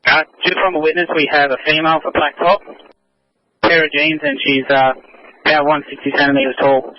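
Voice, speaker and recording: A man's voice: a little high, flat delivery, brisk. An Australian man, a police officer in the field, giving reports over a police radio. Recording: dull lo-fi police radio transmission.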